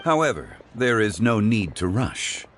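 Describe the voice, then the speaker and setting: A man voicing a courteous, refined gentleman in a video game who speaks in a formal, measured and eloquent way. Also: lowish voice, very animated, soft background music. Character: refined, courteous gentleman fond of the finer things. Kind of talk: video game cutscene dialogue.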